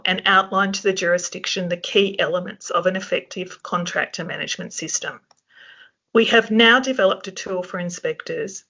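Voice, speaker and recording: A presenter with a croaky, flu-worn voice, reading steadily from a prepared script. The tone is bright and forceful. The audio is dull and lo-fi, as is typical of a webinar. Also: medium pitch. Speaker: webinar presenter for a safety regulator.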